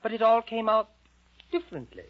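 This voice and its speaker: A man's voice: high voice, animated, fairly quick, pitched above the performer's own voice. A man voicing a shy, polite, bewildered storybook girl in a hesitant, apologetic way. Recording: dull lo-fi old soundtrack, faint music.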